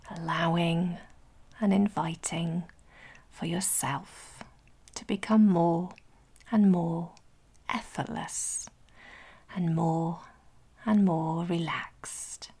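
Very slow, breathy, hushed woman. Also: on the low side. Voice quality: breathy, whispery soft. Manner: soothing, gentle, unhurried with long pauses. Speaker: guided relaxation instructor. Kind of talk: guided meditation for sleep.

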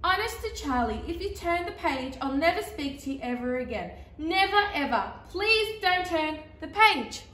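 Lady doing a bossy begging picture book character, super dramatic, bright and swoopy. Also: high-pitched, very animated, heavily acted. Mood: earnest pleading. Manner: dramatic, emphatic, drawn-out pleading. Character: pleading, exasperated talking picture-book character, increasingly frantic. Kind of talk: animated children's storybook read-aloud. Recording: echoey, roomy sound.

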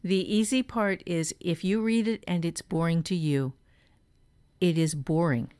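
A woman's voice: on the low side, fairly even intonation, unhurried, a little mellow, clean tone. A woman co-hosting a podcast, giving blunt, emphatic writing advice in a conversational way. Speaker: writing teacher and podcast co-host.

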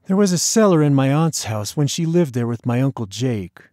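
A man's voice: medium pitch, expressive, normal speed, dark tone, no room sound, studio quality, voice pushed up, natural voice. A man voicing a bossy, mischievous, teasing boy in an audiobook, with a taunting, mock-dramatic manner. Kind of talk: audiobook character dialogue.

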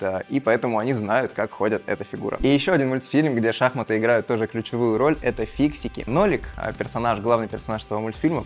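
A man presenting an educational video as a coach, retelling and explaining stories. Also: on the low side. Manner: explanatory storytelling.

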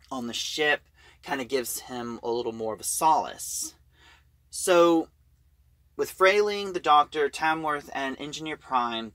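A man giving an informal story recap as a reviewer, talking in a halting, conversational way. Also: mid-range voice, a bit bright, no echo. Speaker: audio drama reviewer.

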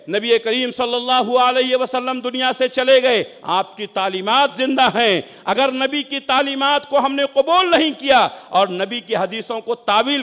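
A man preaching an Islamic sermon, exhorting listeners emphatically with rhetorical questions and repeated phrases. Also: extremely high pitch. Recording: muffled, long echoing hall reverb.

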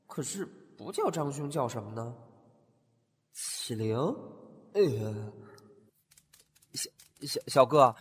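A man's voice: fairly high pitch, breathy edge, full character voice. A man playing a talkative, curious young antique-shop owner who often muses aloud to himself. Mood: musing. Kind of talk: fan audio drama acting.

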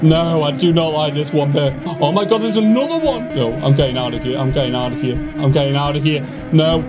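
A man with a high-pitched voice commentates a horror game playthrough. He is excitable and panicky, and tends to repeat short phrases. Loud game music plays behind him throughout. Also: brisk. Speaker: gaming video creator. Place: indoor home gaming room.

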